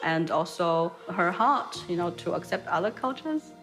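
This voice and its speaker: A young woman with a lowish voice. She speaks casually and a little hesitantly, with fillers. Faint music sits behind her. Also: lively intonation, measured pace. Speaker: interviewee, daughter.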